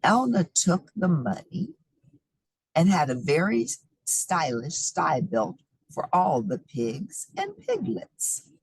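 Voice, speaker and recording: A woman's voice: lowish voice, huge pitch swings, slow, husky, no room sound, low-quality recording. A woman reading a children's picture book aloud with expression and playful asides. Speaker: storybook reader.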